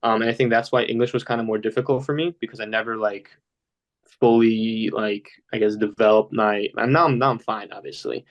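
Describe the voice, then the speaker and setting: A man's voice: fairly low pitch, steady tone, brisk, dull audio. A young man who grew up speaking Polish at home, talking casually as a podcast guest and rambling with many fillers. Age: young adult. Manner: rambling, lots of fillers like "you know". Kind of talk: casual interview talk.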